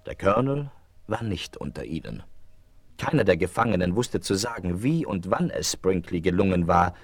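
Low-voiced male radio play narrator. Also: big pitch swings, moderate pace, dry recording. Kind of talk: dramatic scripted narration, 1970s radio play. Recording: noisy vinyl, loud train sound behind.

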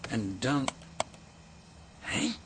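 An actor playing a reasonable middle-aged husband in a radio play, soothing and stammering, often repeating himself. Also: male voice, medium pitch, very slow, fairly bright tone, slight background noise. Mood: patient. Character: placating, reasonable husband, Mees. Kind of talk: naturalistic Dutch radio drama acting.

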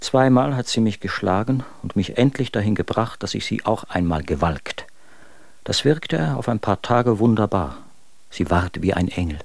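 Low-voiced tormented poet husband. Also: male voice, lively intonation, normal speed, vocal fry, dry recording. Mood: wry, bitter. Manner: literary, confessional, dramatic. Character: tormented, bitter young poet and husband writing letters. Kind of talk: German radio drama, literary letter reading.

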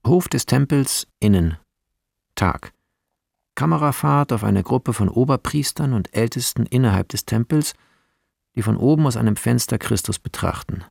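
A man narrating a radio play as a series of film scene directions, measured and clipped, with a creaky voice. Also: medium pitch, lively intonation, darkish tone, no echo, clean studio sound.

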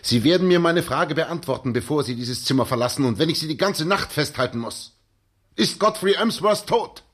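An actor in a 1960s German radio drama playing an earnest young former soldier, polite but insistent. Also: male voice, a little high, lively intonation, normal speed, fairly bright tone, husky. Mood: agitated, demanding. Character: earnest, persistent young ex-soldier seeking his friend.

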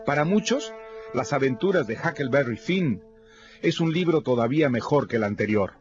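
A man reads a formal, measured literary introduction for a radio program. The sound is somewhat dull, with faint music underneath. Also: medium pitch, animated.

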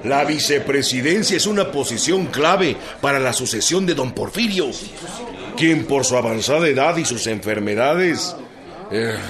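An actor with a Mexican accent playing a scheming, persuasive political intellectual in a historical radio drama. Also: male voice, mid-range voice, animated, unhurried, crowd noise, lightly acted. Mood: conspiratorial. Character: scheming male political intellectual, plotting a succession.

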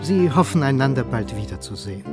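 A man giving expressive, dramatic scripted narration as the storyteller of a radio play. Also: mid-range voice, fairly quick. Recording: studio narration over music.

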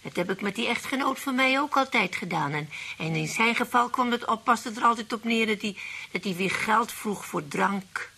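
Very raspy, cynical old widow. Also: female voice, fairly high pitch, moderate pace, pushed and bright, heavily acted. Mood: dry, cynical. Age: older. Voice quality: raspy, creaky. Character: dry, cynical elderly widow who distrusts men. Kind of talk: radio play acting.

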